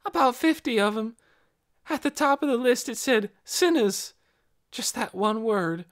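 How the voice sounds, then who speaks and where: A woman's voice: on the high side, lively intonation, a little slow, voice pushed up. A woman voicing a guilty, wounded teenage girl in an audiobook, plaintive and pleading, with folksy, uneducated grammar. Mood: remorseful.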